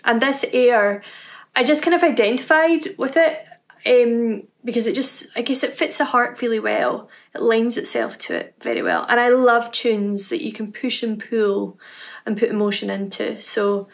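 A woman in her thirties with a Scottish Highland accent, talking casually to camera at home about her music, with frequent ums. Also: expressive, normal speed. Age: 30s. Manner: warm, chatty, with frequent ums and "kind of". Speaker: harpist introducing her piece. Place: home room indoors, dark wooden furniture behind.

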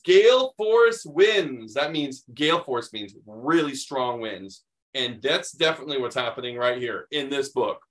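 A middle-aged man with an American accent hosts a children's read-aloud. His voice sits a bit high for a man. He is expressive and explains things in a chatty way as he goes. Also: poor audio. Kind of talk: picture-book read-aloud with chatty asides.

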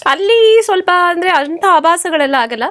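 A woman's voice: very high-pitched, lively intonation, normal speed. A woman, a theatre artist and guest, recounting anecdotes in a casual chat. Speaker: theatre director and costume designer, guest.

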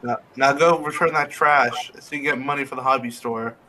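A man's voice: medium pitch, steady tone, moderate pace, pushed and bright, a little hiss or hum. A man chatting casually as co-commentator on a game stream, bossily giving directions. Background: game music.

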